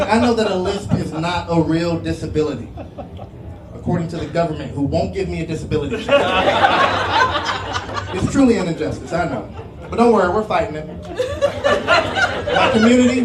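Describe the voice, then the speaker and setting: A nervous man with a lisp doing a self-deprecating stand-up comedy set on a club stage. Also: high-pitched. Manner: self-deprecating, rambling asides to the crowd. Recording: roomy club sound from a distance, loud crowd laughter. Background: audience laughter and room noise.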